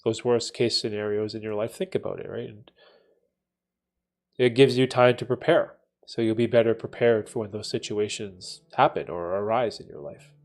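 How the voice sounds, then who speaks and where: A man's voice: lowish voice, steady tone, normal speed, faint music behind. A man in his late thirties hosting a casual livestream, talking in a thoughtful, rambling way and often tagging his sentences with "right?". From Canada. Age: 30s. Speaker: livestream host answering chat. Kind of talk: casual reflective livestream chat.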